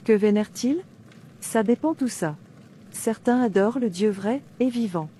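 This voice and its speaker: A woman's voice: on the high side, normal speed, mellow, a little hiss or hum, lightly acted. A woman playing a devout, defiant princess in a dubbed cartoon, declaiming earnestly like a preacher. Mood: earnest preaching. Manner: declamatory, earnest, citing scripture. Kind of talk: sermon-like dubbed cartoon dialogue.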